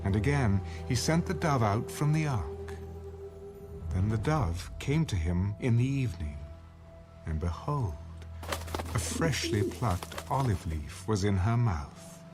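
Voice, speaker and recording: A man reads scripture as a formal audio narrator. His low voice moves expressively through each measured, solemn phrase. Music plays clearly underneath. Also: very animated.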